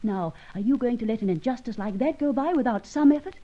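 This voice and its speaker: On a muffled old radio recording, a determined young British lady, partner to a gentleman thief. Her voice is soft-toned and lively in its rise and fall. She speaks briskly and insistently, with a righteous edge. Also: fairly high pitch. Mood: indignant. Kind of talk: old-time radio detective drama acting.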